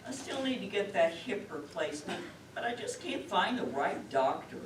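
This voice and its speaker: A woman's voice: mid-range voice, sing-song, moderate pace, natural voice. A woman acting a long-suffering older sister in an amateur stage play, dry and matter-of-fact. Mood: dry, resigned. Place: lakeside community theater stage, picked up from afar. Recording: distant echoey stage recording, clear noise.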